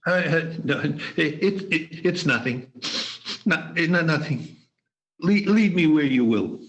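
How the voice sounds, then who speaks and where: A man's voice: fairly high pitch, sing-song, unhurried, fairly bright tone, poor audio. A man playing a nervous, remorseful old miser in a radio play, stammering and hesitant. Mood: tearful, evasive.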